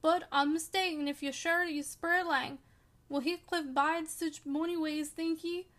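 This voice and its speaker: A woman voicing a grumbling, pious old male servant with a put-on Yorkshire dialect, sneering and scolding in a slow drawl. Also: extremely high pitch, fairly even intonation, a bit bright.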